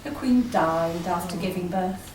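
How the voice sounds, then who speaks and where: A woman's voice: lowish voice, measured pace, darkish tone. A woman narrating an amateur stage pantomime live, reading the story theatrically with informal ad-libs. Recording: echoey, noisy hall from far off.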